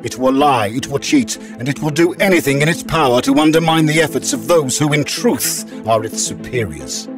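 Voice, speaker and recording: An actor playing a stern, hateful xenophobic leader in a sci-fi strategy game, grave and declamatory. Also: male voice, mid-range voice, animated, normal speed, hardly any pauses, music in the background, close to an everyday voice. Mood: contemptuous, grave. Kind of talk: dramatic game voice-over.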